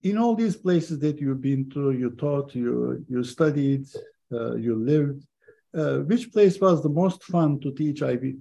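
A man's voice: medium pitch, a little slow, vocal fry, mellow. A man interviewing a guest, asking rambling questions that he piles on top of each other. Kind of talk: conversational interview. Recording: dull, muffled audio.

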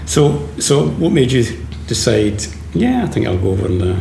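A man, hosting a casual interview with relaxed, rambling questions. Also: mid-range voice, moderate pace, creaky. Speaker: host, interviewer. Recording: echoey room, faint background noise.

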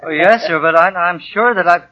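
An actor playing a meek, halting, earnest young husband and clerk in a 1940s radio drama. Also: male voice, high voice, natural voice. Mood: nervous. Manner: halting, deferential. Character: meek, nervous clerk husband finding his resolve. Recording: dull 1940 radio broadcast.

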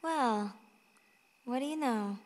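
A woman's voice: a little high, slow. A woman playing a flippant sixteen-year-old runaway girl in a radio play, with a playful, breezy, teasing manner. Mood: tender, teasing. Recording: long reverberant hall sound.

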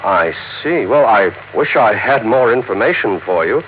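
An American actor playing a fussy, hesitant, polite apartment manager in a 1950s radio drama. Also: male voice, lowish voice, lively intonation, moderate pace, close to an everyday voice. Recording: tinny, noisy old radio recording.

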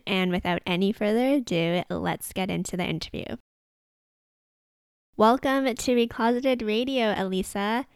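A woman's voice: mid-range voice, smooth, no room sound. A woman hosting a podcast, talking in an upbeat, enthusiastic way into a close mic as she interviews a guest. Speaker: podcast host.

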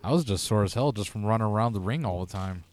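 A man's voice: lowish voice, quick pace, darkish tone. A man co-hosting a casual podcast, laid-back and agreeable. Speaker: podcast co-host.

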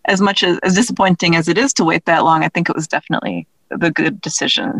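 A woman's voice: lowish voice, steady tone, fast, no echo. A woman speaking casually as an interview guest, chatty and self-deprecating as she talks about her own work as an author. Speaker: interview guest, author and audio drama creator.

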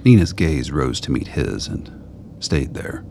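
A man with a very deep, gravelly voice reading scripted narration for a radio play. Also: big pitch swings, a little slow, a little mellow, a little hiss or hum.

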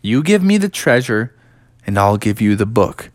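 A man's voice: fairly low pitch, sing-song, normal speed, no echo, clean studio sound. A man voicing a young, good-natured frog adventurer, nervous and eager, with an earnest, hesitant way of talking, for a children's story. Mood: bargaining.

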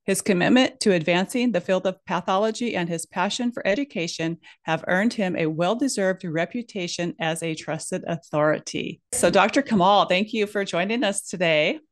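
A woman's voice: on the low side, moderate pace, few pauses. Upbeat woman podcast host. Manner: upbeat, chatty, jokey. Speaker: podcast host, dental hygienist turned consultant. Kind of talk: podcast hosting.

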